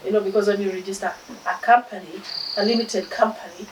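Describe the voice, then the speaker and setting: A Kenyan woman in her fifties speaking in a casual interview, animated and laughing often. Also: mid-range voice, fast. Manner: animated, mixes in Swahili phrases, laughs often. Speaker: interview guest. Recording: distant mic, echoey room, loud insect noise.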